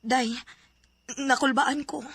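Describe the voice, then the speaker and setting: A woman plays a talkative schoolgirl confidante in a Filipino radio drama. The voice is very high, recorded close and dry, with a Cebuano accent. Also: steady tone, takes it slowly, fairly bright tone, slightly rough, no room sound, full character voice. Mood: anxious. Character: chatty, teasing schoolgirl best friend.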